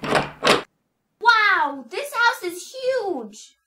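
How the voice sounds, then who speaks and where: A woman's voice: big pitch swings, drawn-out words, pushed and bright, full character voice. A woman playing an eager, innocent little girl in an amateur radio play, with a childlike manner. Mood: excited. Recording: distant mic, noisy rumbling home recording.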